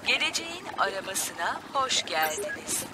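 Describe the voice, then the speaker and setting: A woman voicing a polite talking camper van that gives directions in a measured way in a children's cartoon. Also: medium pitch, big pitch swings, background noise, lightly acted. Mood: calm, polite. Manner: measured, instructional. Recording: music behind the voice.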